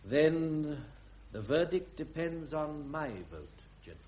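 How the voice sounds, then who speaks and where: Casting: stern military court president, deliberate, authoritative, fairly high male voice, muffled radio play. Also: sing-song, a little mellow, slight background noise. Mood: grave, formal. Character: stern, measured presiding officer of a court-martial. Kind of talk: radio drama acting. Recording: dull, muffled radio drama recording.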